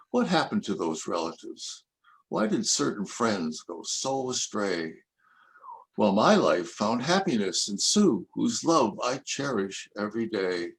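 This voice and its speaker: An older man reading his own rhyming poems aloud in a measured, reflective recitation. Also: fairly low pitch. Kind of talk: poetry reading.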